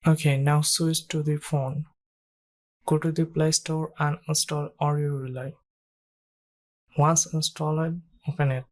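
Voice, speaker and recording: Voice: medium pitch, flat delivery, unhurried, pauses often. A narrator reading scripted step-by-step tech tutorial instructions in a plain, instructional way.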